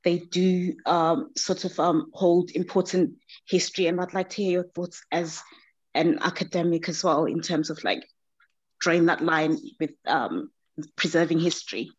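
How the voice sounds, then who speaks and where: A lower-voiced woman asks a question slowly and tentatively, with many fillers. Her voice comes over a dull, lo-fi line in a dry room. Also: husky, no echo. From Zimbabwe. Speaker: audience member asking a question.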